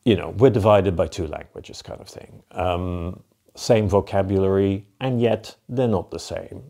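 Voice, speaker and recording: A man's voice: low voice, measured pace, creaky, warm tone. A Flemish man in his fifties, answering viewer questions in a casual, rambling way on a lapel mic in a cosy living room. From Belgium (Flanders). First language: Dutch. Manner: chatty, filler-heavy, repeats "to be quite honest". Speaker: van life vlogger. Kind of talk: casual Q&A to camera.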